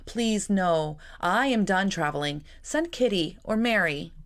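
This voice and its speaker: A woman voicing a gentle, weary, peacemaking elder sister in a Regency storybook, soft and diplomatic. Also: medium pitch, expressive, measured pace. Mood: weary, reluctant.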